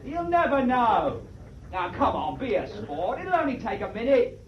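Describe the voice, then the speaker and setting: A man's voice: extremely high pitch, very animated, moderate pace. A man playing a bold, cheeky British prisoner seaman in a wartime radio play, slangy and insistent, on an old recording. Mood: insistent, coaxing. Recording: echoey, muffled, noisy old recording.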